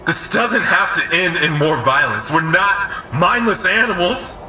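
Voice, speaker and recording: An actor playing a fed-up rebel addressing a divided town in an audio drama, declaiming with passion. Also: male voice, high-pitched, animated, measured pace, background noise. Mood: impassioned pleading. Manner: declamatory, impassioned. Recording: echoing, distant, calling from above.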